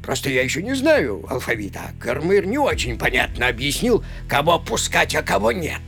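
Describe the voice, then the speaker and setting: A gruff, dim forest wolf from a children's storybook. The voice creaks and swings widely in pitch, with a puzzled, suspicious bluster. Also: male voice, very animated, normal speed, fairly bright tone, faint music behind, heavily acted. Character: gruff, slow-witted forest wolf guarding the path. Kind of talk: children's storybook character acting.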